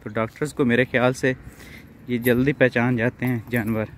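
Male vlogger, Punjabi accent, mid-pitched, casual interview chat and commentary, outdoor location sound with faint noise. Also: flat delivery, moderate pace. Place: outdoors in a village. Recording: outdoor field recording, faint background noise.

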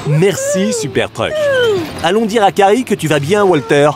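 A man's voice: sits high, wildly swooping pitch, normal speed. A man narrating a children's cartoon, expressively and encouragingly speaking to the characters in simple phrases. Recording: loud music behind the voice. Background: loud cartoon music.

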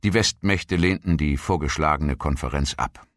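A man reading scripted documentary narration in a measured, formal manner, like a history audiobook. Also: rumbling low, fairly bright tone, dry recording, studio quality. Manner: measured, formal read delivery.

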